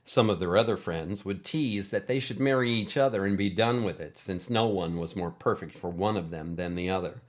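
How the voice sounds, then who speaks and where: A male storyteller with a fairly low voice. He narrates scripted fiction with expressive, deliberate phrasing.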